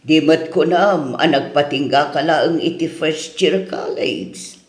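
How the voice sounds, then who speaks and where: A woman's voice: sits low, slow. A woman playing a protective, reluctant older mother in an Ilocano radio drama, with an Ilocano accent. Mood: stern but supportive. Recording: roomy echo.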